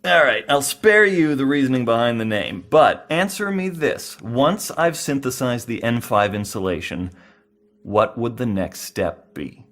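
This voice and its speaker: Quirky male scientist, mid-pitched, swooping intonation, effusive, awkward, theatrical, audio drama. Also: animated, unhurried, a bit bright. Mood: gleeful, showy. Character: eccentric, fussy, showboating male scientist. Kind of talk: modern audio drama acting.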